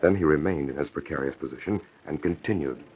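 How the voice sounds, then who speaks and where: Suspenseful serial narrator, low-pitched, scripted, lo-fi vintage radio recording. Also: male voice, fast. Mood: measured, suspenseful. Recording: dull lo-fi old radio recording.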